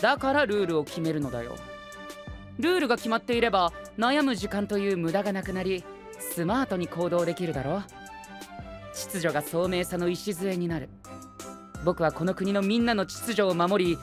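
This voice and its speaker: A prim young gentleman knight in an anime voice drama, his voice high and very animated. He is self-assured and rigid, lecturing formally with English exclamations thrown in. Faint music runs underneath. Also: normal speed. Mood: smug, lecturing. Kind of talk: anime-style voice drama acting.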